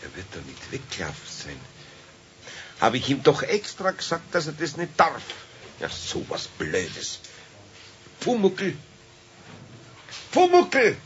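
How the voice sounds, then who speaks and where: A man's voice: a little high, wildly swooping pitch, slow pace, long pauses, fairly bright tone, heavily acted. An actor playing a good-natured, flustered older craftsman with a Bavarian accent, hesitant and muttering, in a children's radio play. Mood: annoyed, calling. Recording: dull 1970s radio recording, faint noise.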